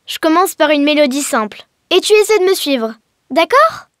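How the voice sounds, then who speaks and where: High-pitched, cheerful cartoon girl. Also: big pitch swings, normal speed, a bit bright, dry recording, studio quality. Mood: encouraging. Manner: explanatory, encouraging. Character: cheerful young Breton girl teaching her alien friend. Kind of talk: scripted educational cartoon dialogue. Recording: music behind the voice.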